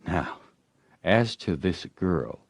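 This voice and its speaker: A man narrating a classic story as an audiobook, with expressive, theatrical storytelling. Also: sits low, very slow, husky, no room sound, clean studio sound. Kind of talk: dramatic literary audiobook narration.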